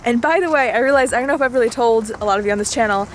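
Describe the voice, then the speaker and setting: Young female travel vlogger, from Bulgaria, chatty and a bit high-pitched, faint outdoor noise behind. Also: racing. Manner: chatty, explains history with frequent "like" fillers. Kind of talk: casual vlog narration. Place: outdoors at monuments and sites.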